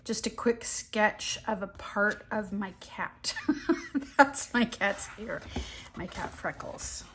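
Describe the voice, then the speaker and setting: A woman's voice: mid-range voice, takes it slowly. A middle-aged woman sniffling and a bit scratchy-throated from allergies, chatting casually through an art journal flip-through with lots of ums and restarts. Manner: relaxed, rambling, many ums and restarts.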